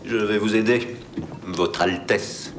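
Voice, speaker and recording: An actor playing a dry, educated expedition member in a film drama, with an echo on the voice. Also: male voice, lowish voice, takes it slowly, background noise. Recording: echoey, loud music behind.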